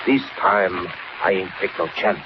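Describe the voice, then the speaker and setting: An actor playing a menacing gangster boss in a 1940s radio serial, barking terse, urgent orders in rough, clipped speech. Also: male voice, low-pitched, measured pace, over a noisy crowd. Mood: scheming, commanding. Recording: dull, noisy old radio recording.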